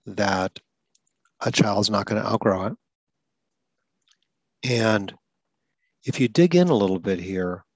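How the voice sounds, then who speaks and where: Earnest male host who stutters, lowish voice, dry podcast sound. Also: no echo, low-quality recording. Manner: earnest, explanatory, occasional repetitions. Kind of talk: advocacy talk about stuttering.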